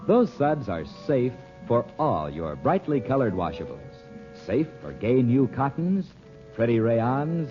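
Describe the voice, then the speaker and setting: A man speaking as a formal, emphatic announcer and narrator in 1940s radio style. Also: mid-range voice. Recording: muffled old radio recording, music behind.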